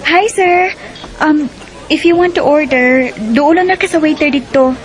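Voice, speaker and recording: An actress playing a young Bisaya cashier and wife in a Filipino radio drama. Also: female voice, high-pitched, unhurried. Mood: cheerful, friendly. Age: young adult. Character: young restaurant cashier wife, friendly but increasingly exasperated.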